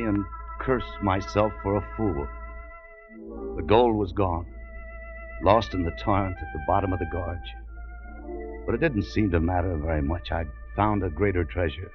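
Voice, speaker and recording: A man's voice: fairly low pitch, close to an everyday voice. An actor playing a hard-bitten, distrustful American adventurer, telling his story tersely and dramatically in a 1940s radio drama. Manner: terse, world-weary, dramatic. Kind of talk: 1940s radio acting, first-person narration. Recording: dull old radio recording.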